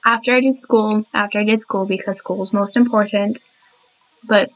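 A woman's voice: mid-range voice, fairly even intonation, normal speed, low-quality recording. A young woman with a sore, cracking throat, rambling chattily and giggling through a voiceover of her own game building. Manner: chatty, giggly, apologetic, many restarts. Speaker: speed build narrator.

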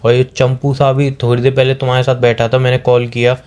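Young boyfriend played by a man for phone-call drama: soft-edged mellow tone, sarcastic, interrogating, repeats his questions. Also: mid-range voice, completely flat delivery, fast talker, smooth, lightly acted. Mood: hurt, accusing. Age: young adult. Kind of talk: acted phone-call argument between a couple.

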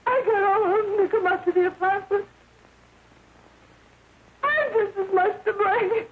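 A woman's voice: very high voice, a little slow. An actress playing a distraught, guilt-ridden young woman confessing in a quavering, tearful voice, in 1930s melodramatic film style. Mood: tearful, anguished. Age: young adult. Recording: scratchy, tinny, noisy 1930s film soundtrack.

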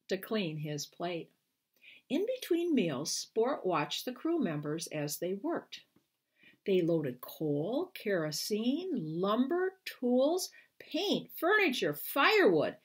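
A woman's voice: medium pitch, husky. A woman in her sixties reading a children's storybook aloud expressively, with careful, emphatic phrasing. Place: small furnished room at home, indoors.

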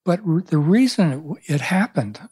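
A man's voice: fairly high pitch, big pitch swings, normal speed, darkish tone, husky. An older man in his seventies, a pastor and author, telling stories thoughtfully in an interview, often restarting his sentences. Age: 70s. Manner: thoughtful storytelling with frequent restarts. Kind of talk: reflective interview conversation. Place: small room, indoors. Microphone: studio microphone on boom arm.

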